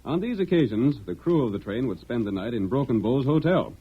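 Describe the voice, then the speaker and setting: A man's voice: mid-range voice, animated, a little fast, breathy edge. A man narrating as a radio announcer in a formal, emphatic, theatrical 1940s style, on a dull old broadcast recording.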